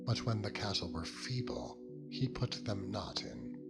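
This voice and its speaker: Slow, low-voiced man reading scripture. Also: very animated. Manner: solemn, measured. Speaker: Bible narrator. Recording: loud music under the voice. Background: loud meditative music with singing bowls.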